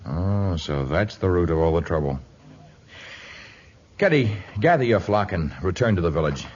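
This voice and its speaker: A man's voice: sits low, expressive, moderate pace, darkish tone, slightly rough. An actor playing a commanding, fatherly jungle hero with formal, declamatory phrasing, in a 1950s radio drama on an old muffled recording. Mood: amused, helpful. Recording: dull vintage radio recording.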